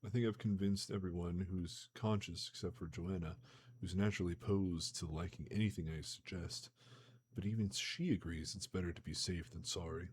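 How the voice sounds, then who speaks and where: A man with a very low, slightly hoarse voice reads a novel aloud for his live stream. He moves through the passages at a steady pace and drops into loose, slangy chat between them, over a faint hum on the desk mic. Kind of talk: live-streamed book reading with casual asides.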